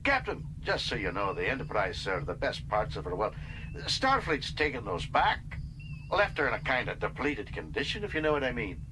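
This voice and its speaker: A man's voice: mid-range voice, wildly swooping pitch, moderate pace, noisy background, voice pushed up. A man voicing a hearty middle-aged ship's engineer with a Scottish accent in an audiobook. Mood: earnest, reporting.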